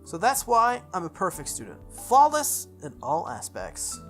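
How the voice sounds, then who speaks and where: Low-voiced woman reading a visual novel. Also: a little slow, faint music behind. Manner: expressive, self-correcting reading. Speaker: game streamer reading a visual novel aloud.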